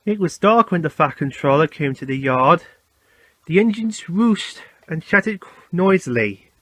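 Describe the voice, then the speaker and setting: A man's voice: a little high, expressive, a little slow, fairly bright tone, no room sound. A man reading a scripted children's story as narrator.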